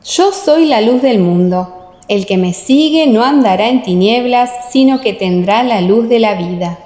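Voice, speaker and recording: Woman voicing slow, solemn Jesus in reverb. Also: on the high side, animated, dark tone. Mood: solemn, authoritative. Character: Jesus, solemn authoritative male teacher. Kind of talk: audiobook radio-play dialogue. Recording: echoey, long reverb.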